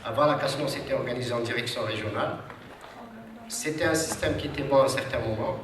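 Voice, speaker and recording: A man's voice: medium pitch, quick pace. A man with a cold giving a formal, hesitant speech to an audience at a seminar, with many fillers and restarts. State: has a cold. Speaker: social security official speaking at a seminar. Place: large hall with audience. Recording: echoey hall, distant mic, audience noise.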